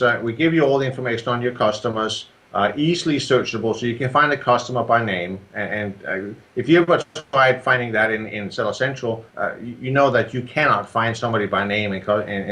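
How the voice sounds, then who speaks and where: A man with a Danish accent walks through how his software works in a casual interview. His explanations are steady and methodical, dotted with ums and small restarts, and his voice sits at a middle pitch. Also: fairly quick. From Denmark. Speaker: software creator, guest.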